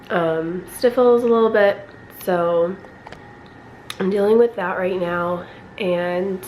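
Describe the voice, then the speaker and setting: Raspy young woman getting over a cold. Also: lowish voice, a little hiss or hum, low-quality recording. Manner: rambling, with frequent throat clearing. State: recovering from a cold, coughing. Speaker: vlogger. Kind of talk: casual vlog chat. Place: at home, indoors.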